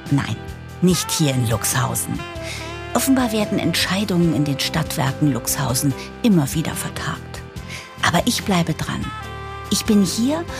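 An actress playing a determined new managing director who narrates an audio drama, expressive, with a breathy, husky voice. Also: female voice, deep voice, exaggerated voice. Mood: wry, observing. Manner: expressive, explanatory. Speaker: narrator and lead character. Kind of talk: German audio drama, first-person narration and dialogue.

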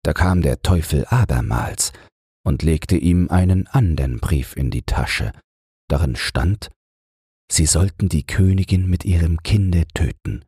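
Very breathy, deep-voiced male storyteller. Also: no echo, studio quality. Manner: calm, soothing storytelling. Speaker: audiobook narrator. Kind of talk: scripted fairy-tale narration for falling asleep.

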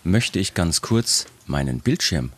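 Male host, low and husky, hoarse from a cold, chatty and self-mocking on a video call. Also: very animated, a little slow, fairly bright tone. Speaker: podcast host. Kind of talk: casual chat.

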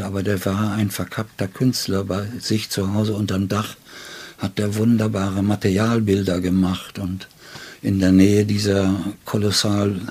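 A man's voice: lowish voice, darkish tone, breathy edge. An older man from northern Germany, a writer in an interview, telling stories reflectively in ornate wording with frequent little question tags. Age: 60s or older. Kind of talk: reflective conversational storytelling. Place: indoors at his home.

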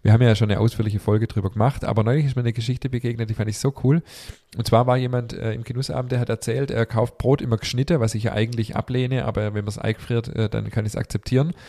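A man's voice: medium pitch, level tone, quick pace, mellow. A man hosting a podcast in casual chat, a little stuffy from a cold, rambling with many fillers and restarts. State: has a cold. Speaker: podcast host.

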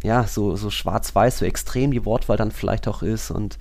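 Low-voiced man, casual podcast talk. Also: moderate pace, creaky, a little mellow. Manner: colloquial, thinking aloud. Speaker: football podcast co-host.

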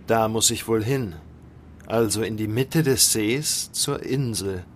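An actor playing a confident, carefree elder prince in a fairy-tale radio play, self-assured and unhurried. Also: male voice, lowish voice, slight background noise.

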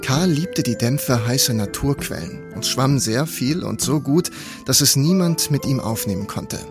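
A man's voice: medium pitch, expressive, measured pace. A man reading out a historical quotation in a scripted voice-over. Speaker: quote reader. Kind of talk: read-out historical source quotation. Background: music bed.

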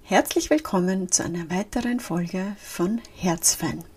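A woman's voice: on the low side, animated, unhurried, darkish tone, slightly rough. A woman with an Austrian German accent hosting a solo business podcast. She talks in a chatty, casual way and moves between formal speech and dialect. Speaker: podcast host and business coach.

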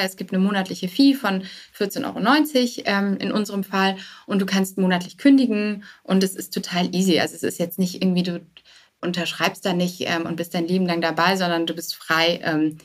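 Casting: woman, mid-pitched, candid startup guest, conversational, sprinkles in English business terms. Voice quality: English business loanwords mixed in. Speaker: interview guest, startup co-founder. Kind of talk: casual interview conversation.

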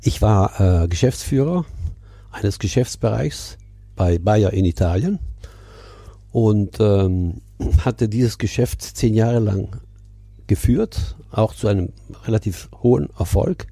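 An older man in his seventies speaks in a very dark, husky voice. He is from Milan and recounts personal experiences in a deliberate, reflective way, as a guest on a podcast. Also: on the low side, dry recording. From Milan, Italy. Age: 70s. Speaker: coach, interview guest.

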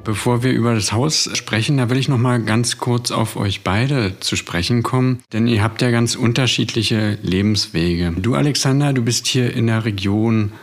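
A man's voice: mid-range voice, moderate pace, few pauses. A man co-hosting a podcast, asking his guests friendly, conversational questions. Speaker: podcast host. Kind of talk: podcast interview.

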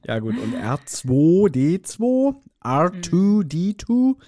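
Higher-voiced man in joking podcast chat. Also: takes it slowly. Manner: joking, teasing, animated storytelling. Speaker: podcast co-host.

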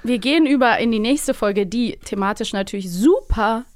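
A woman's voice: a little high, moderate pace, no room sound. A woman in her thirties chatting casually as a podcast co-host, in a close recording. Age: 30s. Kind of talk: casual chat about audio dramas.

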